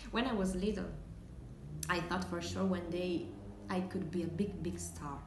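Young actress as a fragile, dreamy woman, Italian accent, slow hesitant dramatic monologue, roomy recording. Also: fairly low pitch, steady tone. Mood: wistful, dreamy. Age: young adult. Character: wistful dreamy woman remembering lost dreams of stardom. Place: small indoor room, seated at table. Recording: echoey room, faint hiss.

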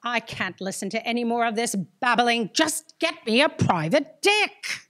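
A woman's voice: a little high, animated, measured pace, a bit bright. An actress playing an impatient, prickly woman boss with a commanding, clipped manner in a staged audio drama. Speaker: stage actor. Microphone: stand microphone on stage.

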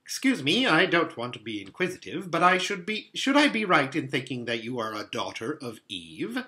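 A courteous storybook faun, acted out by a man, with a high, swooping voice. He is fussy and easily flustered, stammers politely and repeats himself, always eager to please. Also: very animated, normal speed, pushed and bright. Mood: polite, inquisitive. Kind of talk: children's storybook reading.

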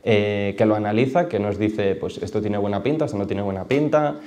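A man's voice: on the low side, fairly quick, warm tone. A young man with an accent from Spain, informally explaining his work as a data analyst to students. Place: large auditorium, panel table.

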